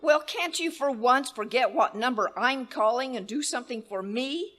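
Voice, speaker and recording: A woman's voice: a little high, normal speed, a bit bright. A woman playing a demanding, frantic wealthy woman who scolds the telephone operators, in an imperious, fretful voice, in a live staging of an old-time radio play. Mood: indignant. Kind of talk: live radio play staged as 1930s radio acting.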